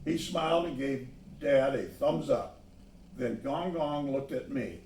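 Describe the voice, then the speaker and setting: A man reading a children's story aloud, pausing to add simple asides about the pictures. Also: medium pitch, gravelly, darkish tone, a little hiss or hum. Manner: pauses to point out the pictures. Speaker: storybook reader. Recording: echoey room, distant microphone.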